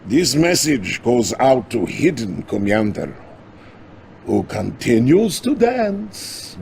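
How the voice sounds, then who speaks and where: A hissy game cutscene recording of a menacing Soviet leader with a Russian accent. He draws out his threats with pompous theatricality, swinging widely in pitch with a gravelly creak. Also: a little mellow, heavily acted. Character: gloating Soviet villain leader taunting an enemy commander.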